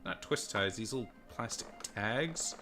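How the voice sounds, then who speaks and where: Deep-voiced man rambling casually. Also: animated, a little slow, over music. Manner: rambling, self-deprecating, swears casually. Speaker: toy reviewer. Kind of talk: casual unboxing chat. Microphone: desk microphone and phone mic.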